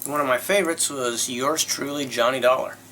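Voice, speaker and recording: A man hosting with casual commentary, talking in an informal, halting way. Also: medium pitch, pushed and bright, a little hiss or hum. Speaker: host.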